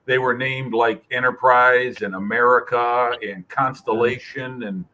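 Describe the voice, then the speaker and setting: A man's voice: mid-range voice, fairly even intonation, a little slow, sharp tone, low-quality recording. A man chatting on a casual live stream call, friendly and full of questions, greeting others as they join. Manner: friendly, asks questions, greets people joining.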